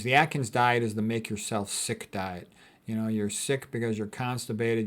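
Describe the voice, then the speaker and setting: A man giving an informal lecture as a physician, commenting on quotes in a blunt, dismissive, colloquial way and repeating his points emphatically. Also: lowish voice.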